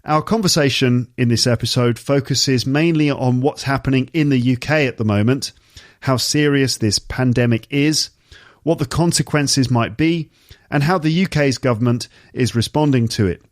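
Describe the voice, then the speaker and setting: A man with a touch of a cold explains words to learners in a relaxed, conversational podcast monologue. His pitch sits in an ordinary middle range. The recording is close and dry, with almost no room sound. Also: dry recording. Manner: chatty, explains words slowly and repeats key terms. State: has a slight cold. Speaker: English teacher and podcast host.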